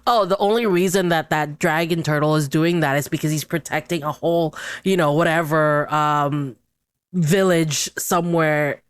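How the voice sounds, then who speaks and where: A woman in a casual chat as a podcast guest on tabletop gaming, speaking haltingly as she thinks aloud. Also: deep voice, fairly even intonation, smooth.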